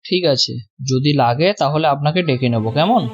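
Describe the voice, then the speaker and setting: A man playing a brisk, reassuring village doctor in a cartoon. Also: fairly high pitch, natural voice. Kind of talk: cartoon dubbing.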